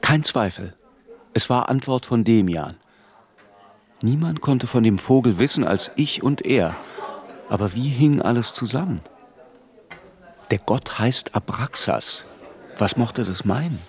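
An actor playing a reflective narrator who recalls his youth in measured literary first-person narration. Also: medium pitch, lively intonation. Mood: pensive.

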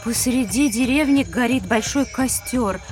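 A woman playing an inquisitive child companion in a children's educational audio drama, telling what the child sees in an expressive, descriptive way. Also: on the high side, unhurried, distant mic, music in the background, close to an everyday voice. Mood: descriptive wonder.

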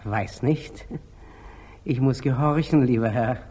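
An actor playing a humble, artless monastery lay brother with a hesitant, meek manner in a 1940s German radio drama. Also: male voice, medium pitch, slow pace, mellow, breathy edge.

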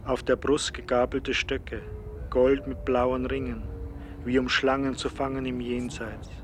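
Male reader for radio-play verse: solemn, declamatory, very even intonation, clear outdoor noise behind. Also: medium pitch, unhurried. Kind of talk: poetic radio drama recitation.

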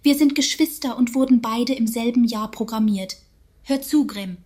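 A woman acting a chatty artificial voice in a radio play. She is slightly high-pitched, sweetly over-understanding and preachy, with casual therapy-speak. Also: normal speed, mellow, natural voice. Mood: soothing, chummy. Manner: soothing, over-understanding, patronising. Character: chummy female synthetic AI voice, sweetly preachy.

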